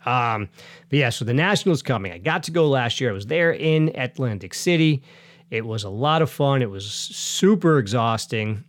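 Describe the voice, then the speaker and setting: A man's voice: mid-range voice, expressive, moderate pace, dry recording. A middle-aged man hosting a casual solo podcast, chatty and rambling with self-corrections, a bit sniffly from a cold. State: getting over a cold, sniffly. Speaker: podcast host.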